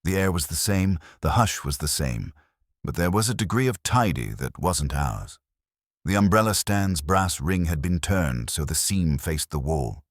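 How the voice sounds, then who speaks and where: Very deep-voiced man narrating. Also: animated, unhurried, no echo, clean studio sound. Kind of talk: scripted audiobook narration of a detective story.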